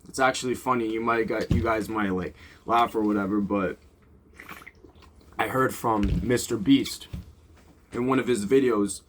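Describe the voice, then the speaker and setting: A young man giving casual, off-the-cuff motivational talk as a vlogger, rambling and informal with blunt swearing. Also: medium pitch.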